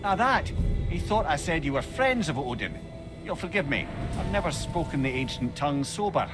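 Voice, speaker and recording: A man's voice: a little high, sing-song, normal speed, music in the background. An actor playing a talkative, wry, learned older man, a reanimated severed head in a video game, with a Scottish accent. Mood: explaining. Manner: chatty, rambling storyteller, wryly humorous. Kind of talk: video game character dialogue. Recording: clear background noise under game dialogue.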